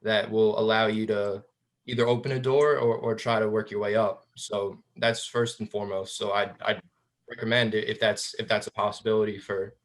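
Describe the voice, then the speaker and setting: A man's voice: lowish voice, flat delivery, moderate pace. A man answering on a webinar panel as an alumnus, giving upbeat advice and often restarting his words.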